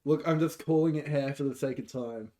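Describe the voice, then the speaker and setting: A man's voice: mid-range voice, brisk, dark tone. A man co-hosting a podcast in casual banter, with loose, slangy phrasing. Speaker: podcast co-host.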